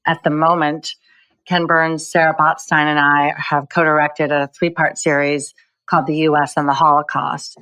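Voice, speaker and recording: A woman from the United States answers interview questions in a measured, articulate way. Her voice sits very low and stays level, and the recording is dry and close. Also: level tone, bright, forceful tone, no echo, poor audio. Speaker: documentary filmmaker guest.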